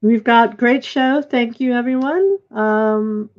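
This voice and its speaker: High-voiced effusive woman hosting, dull audio. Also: takes it slowly. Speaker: host and play director. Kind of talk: informal online event hosting. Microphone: video call. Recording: dull, lo-fi video call audio.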